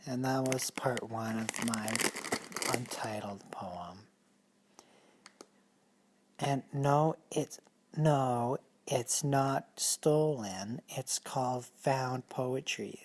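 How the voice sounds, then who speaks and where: A woman's voice: extremely low pitch, a little mellow, full character voice. A woman playing a nervous, earnest amateur poet in improvised radio comedy, speaking hesitantly and solemnly. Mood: earnest, defensive. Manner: hesitant, halting, solemn recitation.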